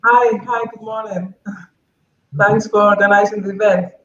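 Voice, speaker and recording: A man's voice: high voice, fairly even intonation, a little slow, a bit bright. A man from the Caribbean introducing his manufacturing company on a video call, polite with a few hesitations. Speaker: company representative. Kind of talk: casual spoken introduction. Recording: echoey dull video call audio.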